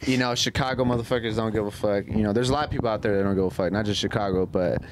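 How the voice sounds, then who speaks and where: A man's voice: lowish voice, very fast, background noise. A young man chatting casually on a podcast, with slangy, profane phrasing. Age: young adult. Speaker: podcast co-host. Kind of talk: casual group chat. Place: small room with couches, indoors.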